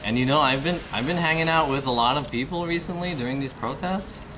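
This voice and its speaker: A man's voice: a little high, fairly quick, noisy background. A man in his thirties chatting casually to viewers on a live stream while eating alone in a restaurant, rambling, swearing and often asking his audience questions. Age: 30s. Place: restaurant, indoors. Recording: music playing behind the voice.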